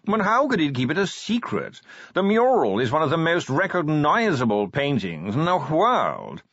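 Fussy upper-class curator voiced by a man, raised pitch, slow plaintive drawl, husky tone. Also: huge pitch swings, a bit bright, no echo, full character voice. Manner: drawling, like modulated yawning. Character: posh, fussy male art curator. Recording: dull lo-fi recording.

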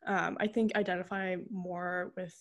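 A woman's voice: lowish voice, flat delivery, moderate pace, dull audio. A young woman with an American accent interviewing in a casual, giggly, informal way, often saying "like". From United States. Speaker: podcast interviewer.

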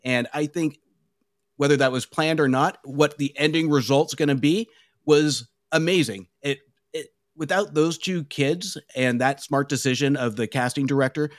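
A man's voice: fairly high pitch, normal speed, dry recording, studio quality. A man guesting on a podcast, talking through a film in a thoughtful, casual way.

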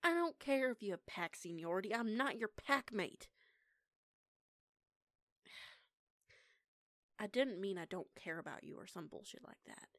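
A woman's voice: medium pitch, big pitch swings, no room sound. A young woman playing a defiant eighteen-year-old punk lone-wolf werewolf in an audio roleplay, with a casual, sweary, sarcastic manner. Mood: irritated, defensive. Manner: sweary, casual, sarcastic, halting when flustered. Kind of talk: intimate one-sided audio roleplay acting.

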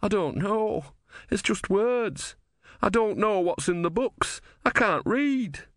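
A man's voice: high-pitched, lively intonation, slow, dry recording, clean studio sound, pitched above the performer's own voice. A man voicing an anxious, naive young novice with a plaintive, earnest manner, in an audiobook story. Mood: distressed confusion.

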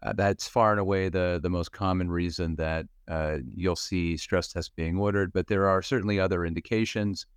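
A man in his fifties from Western Pennsylvania, a cardiologist explaining things in a measured way with many fillers and restarts. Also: sits low, steady tone, clean tone, no room sound, studio quality. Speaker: cardiologist guest expert. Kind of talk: podcast medical discussion.

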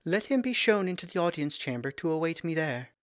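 A man voicing a young, gracious princess, speaking in clear, ringing tones, slow and stately. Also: a little high, big pitch swings, no room sound, clean studio sound, voice pushed up. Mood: playful.

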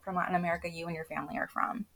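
A woman's voice: on the low side, flat delivery, very fast, vocal fry, fairly bright tone, a little hiss or hum. A woman hosting a podcast, asking questions in a loose, chatty way that wanders off on tangents. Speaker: podcast host. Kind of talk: casual interview chat.

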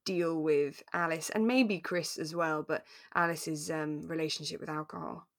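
A woman's voice: sits low, steady tone, normal speed. A woman co-hosting a podcast in casual, conversational chat, often acting out little imagined remarks. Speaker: podcast co-host.

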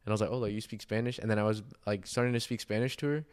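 Young man, low voice, casual podcast chat, slangy bro-talk, loose storytelling. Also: fairly even intonation, rapid-fire, smooth. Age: young adult. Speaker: podcast co-host.

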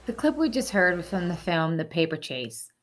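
Lowish-voiced woman narrating. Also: very animated, moderate pace. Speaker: host. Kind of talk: scripted explainer narration. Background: music.

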